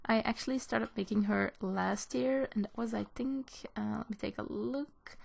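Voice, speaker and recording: A woman's voice: on the high side, normal speed, gravelly. A woman from Belgium, casually answering viewers' questions in a hesitant, informal, giggly manner, recorded in a room at home.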